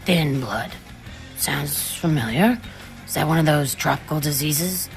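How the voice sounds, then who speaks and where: A woman's voice: low-pitched, faint music behind. A woman playing a world-weary but kindly diner waitress with an American accent in a video game. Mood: wary, dry. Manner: laconic, folksy, unhurried.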